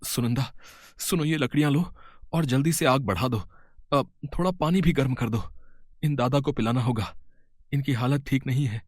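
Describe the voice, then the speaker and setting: A man's voice: mid-range voice, no room sound, studio quality, close to an everyday voice. A man playing an earnest, troubled young father. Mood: urgent. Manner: earnest, pleading, quick when worried. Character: troubled, kind-hearted poor young family man. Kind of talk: storybook voice acting.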